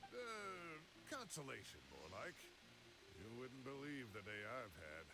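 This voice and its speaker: A man playing a hearty, generous older scholar in a video game, with an expressive, jovial delivery. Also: mid-range voice, takes it slowly. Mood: rueful. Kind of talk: video game dialogue acting. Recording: game audio with clear background noise.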